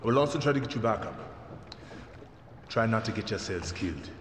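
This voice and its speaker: An actor playing a concerned, resigned and loyal comrade in a video game cutscene. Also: male voice, on the low side, lively intonation, brisk, lightly acted. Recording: echoing, reverberant, noisy background.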